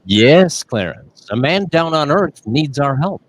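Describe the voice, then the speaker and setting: A man's voice: fairly high pitch, sing-song, slow pace, exaggerated voice. A man playing an authoritative senior angel giving grave orders in a radio play. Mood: grave, commanding. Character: commanding senior angel, superintendent of heaven. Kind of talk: live radio play acting.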